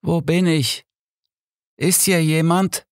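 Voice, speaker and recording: A man voicing a frightened blind old man, whimpering and halting, in an audiobook thriller. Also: on the high side, steady tone, no echo, studio quality, pitched above the performer's own voice. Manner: stammering, fearful, halting.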